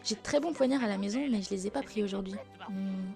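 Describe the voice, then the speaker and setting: A woman as a creaky, gleefully morbid schemer. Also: medium pitch, fast talker, over music. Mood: musing. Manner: gleeful, theatrical. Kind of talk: amateur comedy audio skit.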